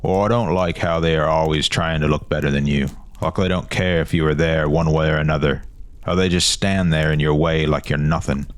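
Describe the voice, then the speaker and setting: A man's voice: very deep voice, fairly even intonation, fairly quick, no echo. A man voicing a wary, grumbling older boy who distrusts outsiders in a fantasy story. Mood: resentful. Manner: grumbling, suspicious.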